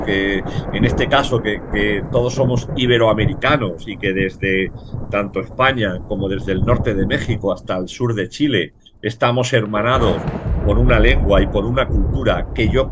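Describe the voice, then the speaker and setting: A man from Spain with a Castilian Spanish accent, a guest professor in a relaxed academic conversation, speaking courteously and carefully with hesitant fillers. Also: fairly low pitch, background noise.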